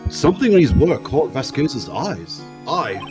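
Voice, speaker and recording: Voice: mid-range voice, big pitch swings, unhurried. A streamer playing a timid, sad male murder suspect in an amateur live game dub, speaking hesitantly. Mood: explanatory. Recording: music playing behind the voice.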